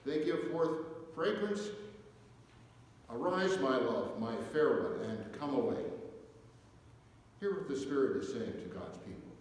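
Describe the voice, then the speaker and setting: A man reading scripture aloud at a church service, with measured, deliberate phrasing and pauses. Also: mid-range voice, lively intonation. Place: inside a church, reverberant. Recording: echoey church hall, distant mic, faint noise.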